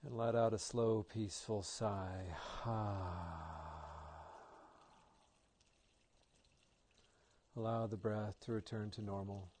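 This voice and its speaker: A man leading a guided meditation as an instructor, speaking in a calm, gentle and soothing manner. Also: on the low side, normal speed, hardly any pauses, a little hiss or hum.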